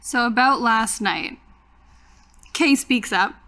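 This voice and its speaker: A woman casually improvising as a player in a tabletop roleplaying game, speaking haltingly. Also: on the high side, sharp tone.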